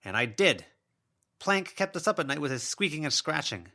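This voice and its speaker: Man voicing a pleading, high-pitched older woman's ghost. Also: sing-song, normal speed, pushed and bright, exaggerated voice. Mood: pleading, defensive. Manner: pleading, aggrieved, self-excusing.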